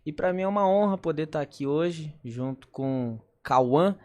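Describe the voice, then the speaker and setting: A man's voice: fairly high pitch, animated, a little slow, darkish tone. A man of twenty, a law student and podcast guest, introducing himself politely. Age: 20s. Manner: polite, courteous. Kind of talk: casual podcast conversation.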